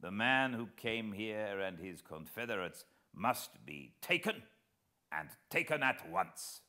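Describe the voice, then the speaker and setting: A man's voice: fairly low pitch, animated, takes it slowly, bright, forceful tone, full character voice. A man voicing a grave, decisive French military man, formal and emphatic, in a storybook reading. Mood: grave, urgent. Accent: British.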